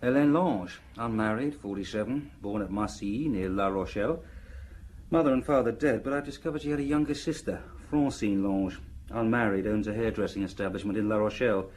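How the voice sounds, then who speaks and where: A man performs an efficient inspector in a radio drama. He speaks in a fairly low voice, politely and without fuss, as if reporting to a superior. A little noise sits behind him. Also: fairly quick, darkish tone, close to an everyday voice, low-quality recording. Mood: businesslike. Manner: matter-of-fact, courteous. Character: deferential, efficient male police inspector briefing his chief. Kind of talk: audio drama dialogue.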